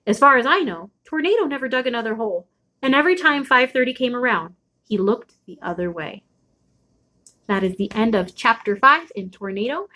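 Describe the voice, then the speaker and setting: A woman reading a children's chapter book aloud expressively, with dramatic pauses and drawn-out emphasis. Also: fairly high pitch, animated, sharp tone. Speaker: storybook read-aloud host.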